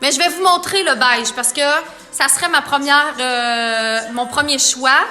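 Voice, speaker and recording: A woman's voice: sits high, a little slow, pushed and bright. A woman makeup artist with a Quebec French accent, chatting playfully with viewers during a live makeup tutorial. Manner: chatty, playful, reads viewer comments aloud.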